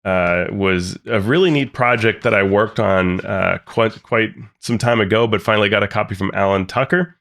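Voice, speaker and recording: A man's voice: lowish voice, moderate pace, creaky, few pauses, fairly bright tone. A man co-hosting a podcast in casual, upbeat chat, his voice thick and congested from a cold. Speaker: podcast co-host.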